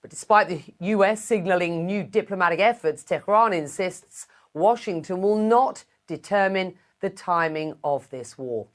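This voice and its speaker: A woman anchoring a television newscast, reading scripted news in a steady broadcast delivery. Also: on the low side, normal speed, few pauses.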